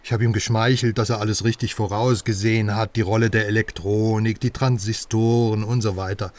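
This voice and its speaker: A man's voice: fairly low pitch, flat delivery, fairly quick, a little mellow, recorded from a distance, close to an everyday voice. A man playing a pushy, self-assured journalist in a radio crime drama, talking at length in a persuasive, self-important way. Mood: confiding, wry. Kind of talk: naturalistic radio drama dialogue.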